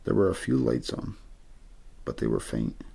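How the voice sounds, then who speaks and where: A man with an extremely deep, heavily creaky voice reads a story in ASMR style. His tone is very husky and rough. He reads as an amateur aiming to lull the listener to sleep, without theatrics. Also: big pitch swings, moderate pace, dark tone. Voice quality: very low, gravelly, husky. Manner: untheatrical reading meant to lull to sleep.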